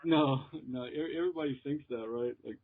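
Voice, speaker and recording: A man's voice: medium pitch, brisk. American man on a tinny phone line. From Texas, United States. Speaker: interviewed metal musician. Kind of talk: casual chat in an interview. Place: remote call.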